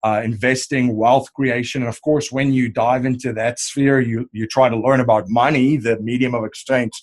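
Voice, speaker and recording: A man's voice: mid-range voice, steady tone, normal speed. A man in his thirties from South Africa with a South African accent, answering as an interview guest in a rambling way with many fillers. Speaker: podcast host and wealth strategist guest.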